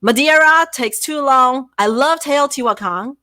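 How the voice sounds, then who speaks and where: Woman, non-native English speaker, bright voice, dry close sound, lively. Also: high-pitched, unhurried, dry recording. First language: not English. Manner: lively, laughing, self-deprecating. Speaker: guest content creator. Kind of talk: casual livestream chat.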